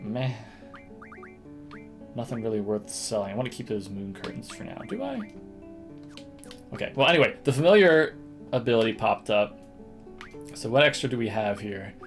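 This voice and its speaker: A man streams a video game with casual, think-aloud commentary. His voice sits at an average pitch, and he sometimes hums to himself. Game music plays behind him. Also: sing-song, moderate pace, long pauses. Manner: thinks aloud, hums little nonsense tunes.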